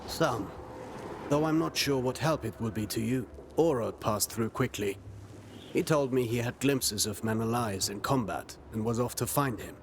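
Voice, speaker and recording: An actor playing a weary but loyal, stoic veteran warrior in a game cutscene, measured and grave. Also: male voice, close to an everyday voice. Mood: resolute. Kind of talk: dramatic video game cutscene acting. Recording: clear rumbling background noise.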